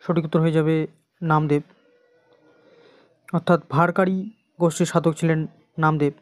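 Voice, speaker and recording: Exam coach, Indian Bengali accent, monotone delivery, instructional question-and-answer reading, dry recording. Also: mid-range voice, normal speed, no echo.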